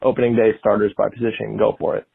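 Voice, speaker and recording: A man's voice: fairly low pitch, flat delivery, fast talker, vocal fry, slightly rough, no echo, phone-quality sound. A man calling in with a casual voicemail message, recorded over the phone. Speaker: voicemail caller.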